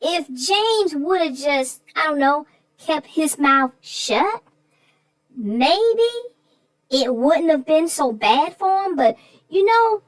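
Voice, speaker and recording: Woman, high voice, slow casual chat, folksy sayings. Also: big pitch swings, no room sound. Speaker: co-host. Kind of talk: casual chat about a story.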